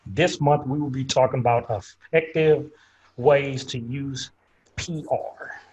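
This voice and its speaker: A man's voice: medium pitch, fairly even intonation, slow, a little mellow, a little hiss or hum, low-quality recording. Upbeat man hosting a webinar. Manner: upbeat, laughs easily. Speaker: webinar host.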